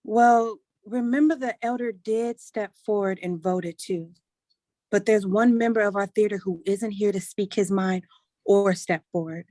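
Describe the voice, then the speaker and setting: A woman acting a gentle, pleading grown daughter in a staged reading of a play. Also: mid-range voice, a little slow, no echo, lightly acted. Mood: earnest, hopeful.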